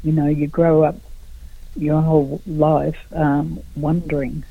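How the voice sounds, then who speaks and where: A middle-aged Australian woman, a guest artist in a radio interview, speaking slowly and haltingly with many pauses and fillers. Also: deep voice, little pitch movement, slightly rough, dry recording. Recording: tinny phone line.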